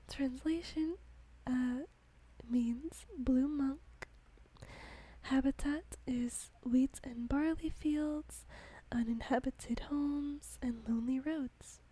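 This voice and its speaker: A woman whispering an ASMR reading in a soft, gentle voice, with light giggles between passages. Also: high voice, steady tone, extremely slow, rough recording. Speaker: ASMR host.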